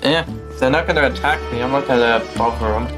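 A man's voice: mid-range voice, fast talker. Young male streamer musing over game music. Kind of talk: casual live gameplay commentary. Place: small room at home.

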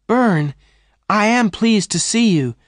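An earnest, warm-hearted woman of the old West, played by a male reader. The pitch is lifted well up and the delivery is emphatic and excitable, as in a dramatised storybook. The recording is dry. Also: moderate pace, no room sound, natural voice. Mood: surprised. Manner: emphatic, exclaiming, raised pitch. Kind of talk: dramatised audiobook dialogue.

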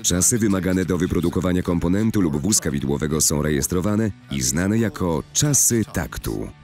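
A man with a deep, low voice narrates a corporate presentation. His delivery is formal and measured, with lively rises and falls in pitch. Soft music plays behind his studio-recorded voice. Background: faint music.

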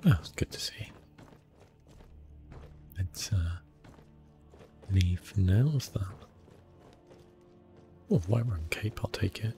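A man giving soft, hushed ASMR gameplay commentary in a relaxed, unhurried manner. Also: deep voice, vocal fry, soft background music. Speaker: gaming streamer, host.

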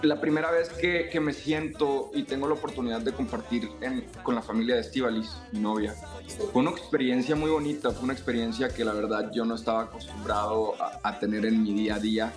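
A young man from Sonora, a singer, reflecting in a casual interview over a video call, halting often and restarting his sentences. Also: medium pitch, steady tone, faint music behind. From Sonora, Mexico. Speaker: singer and songwriter, interview guest. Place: home room.

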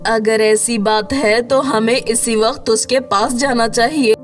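A woman's voice: fairly high pitch, steady tone, measured pace, soft background music, close to an everyday voice. A woman voicing a kind, earnest young female witch in a cartoon story. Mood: worried.

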